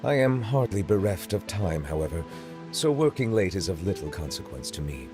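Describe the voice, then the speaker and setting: A stately male judge from a video game, speaking in a low, composed voice. He chooses every word with formal precision and measured calm. His humor is dry. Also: over music.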